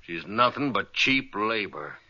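Deep, resolute western rancher. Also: male voice, lively intonation, unhurried, bright, forceful tone, exaggerated voice. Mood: firm, angry. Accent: American western. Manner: plainspoken, determined. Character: big, resolute, protective male ranch boss in love. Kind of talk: 1950s radio western acting. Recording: dull old radio recording.

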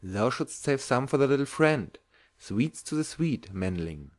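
A woman's voice: rumbling low, big pitch swings, measured pace, pitched below the performer's own voice, heavily acted. A woman voicing a kindly, teasing professor, a man with quaint, old-fashioned phrasing, in an audiobook. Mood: gently teasing. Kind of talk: audiobook character voice.

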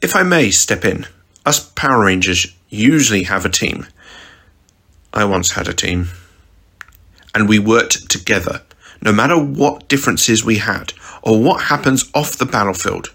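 A man voicing a young male hero who once fought on a team, speaking in an earnest, measured way. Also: fairly low pitch, animated, vocal fry, sharp tone, husky, heavily acted. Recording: noisy home recording.

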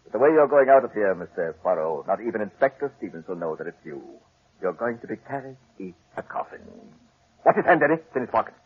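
An actor playing a cold, humorless undertaker villain with a dry, smooth, menacing manner in a 1940s radio drama. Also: male voice, fairly low pitch, big pitch swings, quick pace. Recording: muffled, noisy, crackly old radio recording.

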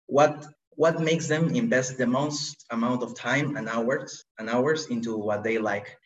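A man in his thirties from Peru, a podcast guest talking casually about himself with thoughtful pauses and frequent fillers. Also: medium pitch. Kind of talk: casual conversation, introducing himself. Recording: roomy, dull lo-fi remote recording.

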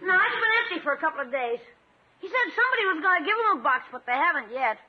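High-voiced wisecracking schoolboy. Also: sing-song, fast talker. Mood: falsely innocent. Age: child figure. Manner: wisecracking, falsely innocent. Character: cheeky scheming schoolboy nephew, wisecracking. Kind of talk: 1950s radio comedy acting. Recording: muffled old radio broadcast.

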